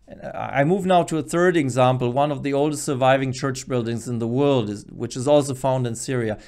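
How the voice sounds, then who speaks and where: A middle-aged man presents a lesson at a desk microphone. His voice sits at an average male pitch. He explains things in an earnest way, leaning on key words for emphasis. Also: fairly quick, a little mellow. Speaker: presenter, Bible teacher. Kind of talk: explanatory teaching to camera. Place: small furnished studio room at a desk, indoors. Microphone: desk microphone close in front.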